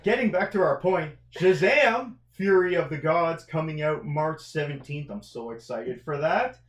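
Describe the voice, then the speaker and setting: A man's voice: on the high side, lively intonation, moderate pace. A man with a Central Canadian accent, chatting casually and enthusiastically as a podcast co-host reviewing films and TV, with a bantering manner. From Canada, outside the Maritimes. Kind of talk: casual conversational review chat. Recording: echoey untreated room.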